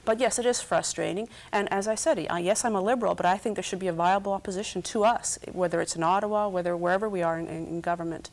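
A woman's voice: lowish voice, quick pace, few pauses, a little mellow. A middle-aged woman from Canada, a politician guest on a TV studio panel, speaking earnestly and often repeating words as she thinks. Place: TV studio set, indoors.

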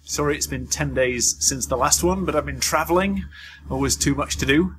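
A man's voice: fairly high pitch, normal speed, a bit bright. A middle-aged man hosting a news vlog, talking through a roundup to camera from notes. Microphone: lapel mic. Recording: clearly noisy background ambience.

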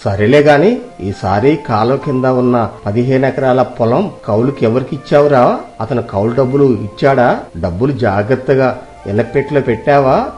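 A man's voice: mid-range voice, normal speed, a little mellow, soft background music, lightly acted. A man voicing a wheedling, fussing elder brother, an older village man in a dramatized moral story.

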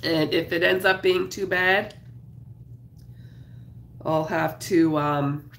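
Raspy, congested woman streaming from home. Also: lowish voice, fairly even intonation, slow, fairly bright tone, reverberant, slight background noise. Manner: chatty, greets viewers while crocheting. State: congested, sore throat. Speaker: craft livestream host. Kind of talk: casual livestream chat. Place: small home craft room, indoors.

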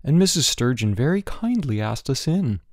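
A narrator voicing a cheeky schoolboy in a storybook, smooth and earnest with feigned innocence. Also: mid-range voice, big pitch swings, normal speed, a little mellow, dry recording, studio quality.

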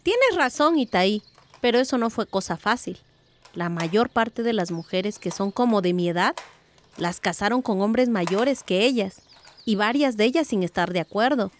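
A woman's voice: medium pitch, expressive, moderate pace, slight background noise, natural voice. A woman playing a thoughtful, determined middle-aged village aunt in a radio drama, speaking earnestly and reflectively.

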